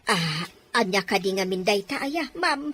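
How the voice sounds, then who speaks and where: A woman's voice: medium pitch, sing-song, measured pace, fairly bright tone. A woman acting as a timid, submissive nanny in a drama, hesitant and fearful of her mistress. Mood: alarmed, confused. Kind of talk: Ilocano radio-style drama acting.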